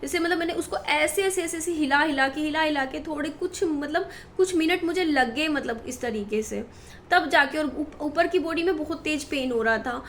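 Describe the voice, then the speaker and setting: A woman's voice: high-pitched, moderate pace, a little hiss or hum. A woman in her thirties talking to her viewers in a worried, rambling way, with many fillers and restarts. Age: 30s. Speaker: vlogger. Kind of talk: personal talk to camera.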